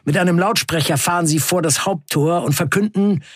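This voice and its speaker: A man playing a defiant 1970s factory worker on strike, recounting events in a deliberate, declamatory radio play narration. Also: fairly high pitch, noisy background, natural voice. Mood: resolute, reporting. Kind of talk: dramatic first-person radio play narration.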